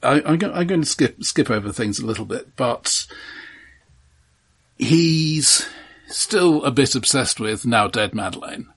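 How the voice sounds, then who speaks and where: A man co-hosting a film podcast, casually explaining things in a halting, conversational way. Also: mid-range voice, expressive, a bit bright, slightly rough.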